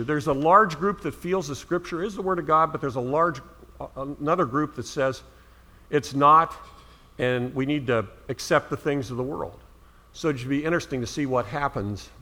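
High bright-voiced preacher. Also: male voice. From Ohio, US. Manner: earnest, emphatic rises on key words. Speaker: pastor. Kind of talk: sermon.

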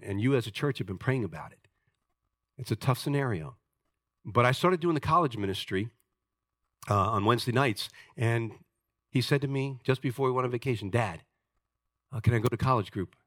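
A man in his sixties preaching a sermon as pastor, emphatic and jokey, swinging between loud points and quiet asides. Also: medium pitch, no echo, studio quality. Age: 60s.

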